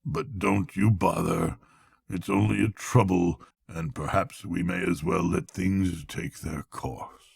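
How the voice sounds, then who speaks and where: A man voicing a languid storybook toad, feeble and murmuring, playing the mournful martyr as if gravely ill. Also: sits low, steady tone, takes it slowly, full character voice. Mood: feeble, pleading. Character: languid, self-pitying male toad feigning grave illness.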